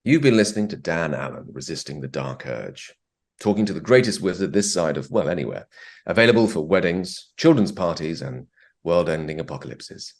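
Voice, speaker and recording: A man's voice: low voice, lively intonation, brisk, a little hiss or hum. A man voice actor delivering a droll, theatrical promo. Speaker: game actor giving a promo.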